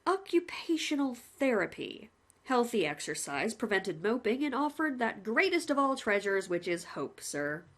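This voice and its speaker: A woman's voice: fairly high pitch, sing-song, moderate pace. A woman voicing a cheerful male prison warden who talks on and on politely, saying sir often. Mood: chatty. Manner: chatty, overly polite, says sir constantly.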